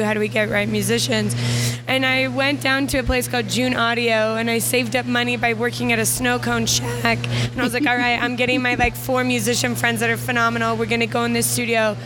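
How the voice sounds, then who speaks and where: A young woman with a gravelly speaking voice, chatting upbeat as a guest singer in an interview, full of likes and ums. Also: on the high side. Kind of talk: casual interview chat.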